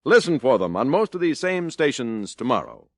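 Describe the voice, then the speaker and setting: A man's voice: mid-range voice, big pitch swings, normal speed, dry recording, clean studio sound. Jaunty vintage radio announcer, a man. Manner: smooth, jaunty announcer delivery. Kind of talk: 1950s radio promo read.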